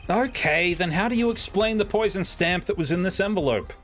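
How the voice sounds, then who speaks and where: A woman's voice: fairly low pitch, brisk, a bit bright, close to an everyday voice. A woman playing a flustered, unsure defense attorney in a casual, jokey live fan dub of a game. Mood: joking, unsure. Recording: game music behind the voice.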